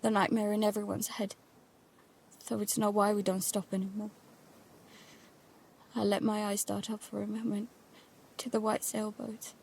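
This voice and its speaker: Anxious teenage girl narrating, fairly even tone played by a woman. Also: medium pitch, normal speed, creaky, pauses often, a little hiss or hum, natural voice. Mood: uneasy, hushed. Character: anxious, stubborn teenage daughter narrating life aboard a boat. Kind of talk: audio drama acting with first-person narration.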